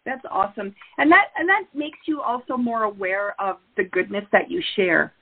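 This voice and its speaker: A woman's voice: medium pitch, moderate pace, breathy edge. A woman hosting an interview who agrees readily and keeps the chat casual. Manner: warmly agreeable, affirming. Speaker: podcast host. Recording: tinny phone-like sound.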